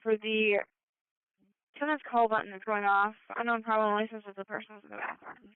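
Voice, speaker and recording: Tinny-radio woman dispatcher, very clear voice. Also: mid-range voice, flat delivery, brisk, no room sound. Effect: two-way radio transmission. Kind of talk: police and EMS radio traffic. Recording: tinny, phone-like scanner radio feed.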